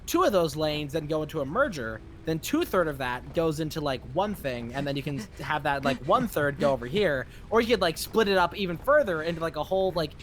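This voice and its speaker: A woman co-streaming a game, explaining mechanics in quick, rambling bursts and swearing casually. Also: low voice, expressive, a little hiss or hum. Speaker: co-streamer guest. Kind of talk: casual gaming stream chat.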